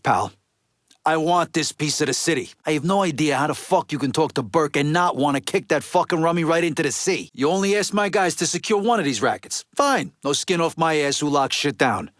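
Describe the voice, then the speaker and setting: An actor playing a tough, foul-mouthed middle-aged Italian-American mobster in a video game, with an American East Coast accent and blunt street talk. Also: male voice, a little high, fairly bright tone, no room sound, studio quality. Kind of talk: video game character dialogue.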